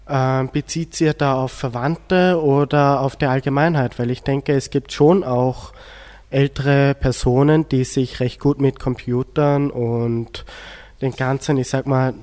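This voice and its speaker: Teenage male, Austrian accent, student radio moderator, medium pitch, long reverb. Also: fairly even intonation, slow pace, darkish tone. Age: teens.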